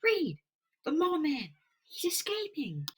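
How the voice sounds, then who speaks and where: A man's voice: very high-pitched, huge pitch swings, measured pace, distant mic, very noisy, voice pushed up, exaggerated voice. A man voicing an anxious young comic-book heroine with dramatic, urgent delivery. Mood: urgent alarm. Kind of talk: dramatic motion-comic voice acting.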